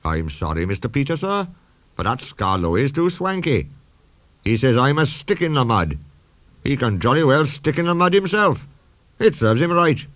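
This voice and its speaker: A man's voice: mid-range voice, big pitch swings, normal speed, fairly bright tone, no echo. A man voicing a grumpy, stubborn old male steam engine in a storybook, indignant and plain-spoken.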